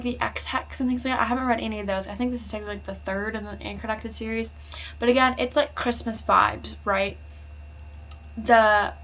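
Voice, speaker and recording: Woman, casual book vlogger; rambly, chatty delivery at a middling pitch. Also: fairly bright tone. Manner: chatty, rambling, heavy on "like" fillers. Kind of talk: casual book chat to camera.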